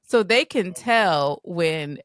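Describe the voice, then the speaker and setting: A woman's voice: on the low side, animated. A woman in her twenties co-hosting a podcast, a teacher bantering and teasing in casual chat with her husband. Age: 20s. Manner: playful, teasing banter with quick laughs. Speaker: podcast co-host; public school teacher.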